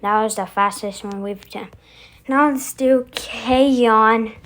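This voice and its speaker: Slow-paced, higher-pitched female host. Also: steady tone, a bit bright. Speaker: channel host. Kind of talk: casual demo of voice effects to camera.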